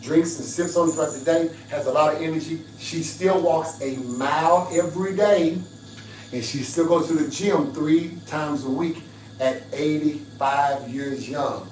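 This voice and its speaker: Raspy middle-aged male streamer, emphatic and preachy, roomy audio with a faint hum. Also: on the high side, unhurried, a little mellow, distant mic. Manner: preachy, emphatic, addresses viewers directly. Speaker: fitness livestreamer. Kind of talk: casual livestream pitch to viewers.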